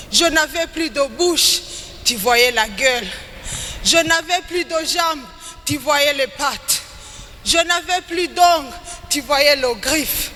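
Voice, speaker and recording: Woman w doing slow dramatic poem recital, really bright forceful voice, big echoey hall. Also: sits high. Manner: slow, emphatic, declamatory delivery with rising and falling phrases. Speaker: poet reciting on stage. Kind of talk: dramatic spoken-word poetry recitation. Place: large hall at a live event. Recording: echoey hall with background noise.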